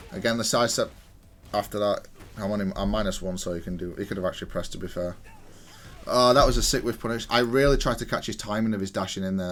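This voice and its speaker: A man giving casual, matter-of-fact commentary as he analyses a video game match, explaining each move. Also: lowish voice. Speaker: gaming content creator.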